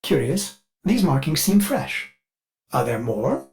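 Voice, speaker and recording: A man's voice: fairly high pitch, very animated, slow, a little mellow. An actor playing a fussy, devoted male ship AI in a video game, eager and anxious, with a polite, theatrical manner. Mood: curious, wary. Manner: polite, theatrical, refers to self in third person. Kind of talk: video game companion dialogue.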